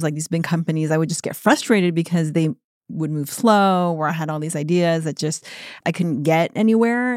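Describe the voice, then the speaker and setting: A woman with an American accent speaks in a somewhat low, even-toned voice, recorded very close and dry. She talks in an upbeat, conversational way, full of casual fillers, like a founder telling her story in an interview. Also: no room sound. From South Korea. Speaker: guest, company founder.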